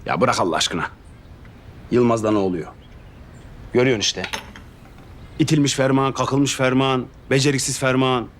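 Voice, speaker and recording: A man's voice: mid-range voice, a little hiss or hum, natural voice. An actor playing Ferman, an anxious young man who pleads and complains about being his family's underdog. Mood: pleading. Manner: fast, pleading, self-pitying. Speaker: actor in a TV drama. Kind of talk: TV drama acting.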